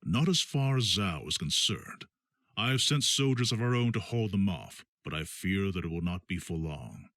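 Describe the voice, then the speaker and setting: A man's voice: low-pitched, animated, moderate pace, a bit bright, dry recording, studio quality. An actor playing a grave, formal male ruler in a video game.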